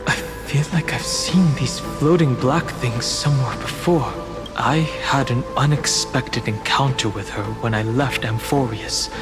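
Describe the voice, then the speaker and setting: A man playing a solemn, resolute young wanderer in a video game, measured, earnest and thoughtful. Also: mid-range voice, natural voice. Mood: grave, thoughtful. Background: music.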